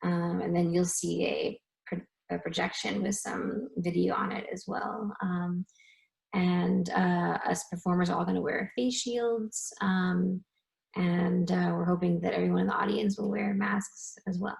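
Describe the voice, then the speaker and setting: A woman's voice: on the low side, little pitch movement, a little slow, creaky, darkish tone, poor audio. A woman dance performer answering a panel question, hesitant, with many ums and restarts.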